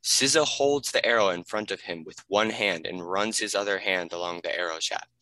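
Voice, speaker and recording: A man's voice: low voice, moderate pace, pushed and bright, no room sound, low-quality recording. A man reading scripted stage directions as a narrator.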